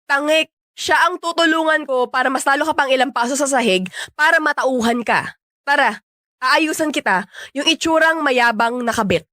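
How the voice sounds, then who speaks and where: A woman's voice: high voice, normal speed, sharp tone, dry recording, studio quality. A Filipino woman acting in a radio drama as a blunt, sarcastic young best friend who scolds and teases. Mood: nagging, sassy. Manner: sassy, tactless, nagging.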